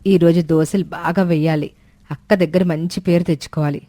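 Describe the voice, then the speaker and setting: A woman's voice: on the low side, fairly even intonation, brisk, mellow, dry recording, close to an everyday voice. A woman voicing a proud, easily hurt young daughter-in-law in a moral story. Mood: brooding. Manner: earnest, complaining.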